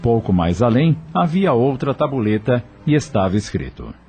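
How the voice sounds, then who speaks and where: A man narrating a radio drama from a script in an expressive, literary storytelling manner. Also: mid-range voice, normal speed, soft background music.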